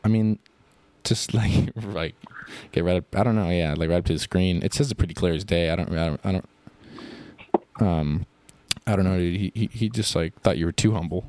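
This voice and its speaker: Deadpan rambling man, very low voice. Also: a little fast. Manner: deadpan, rambling with many fillers. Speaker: podcast co-host. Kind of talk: improvised comedy banter.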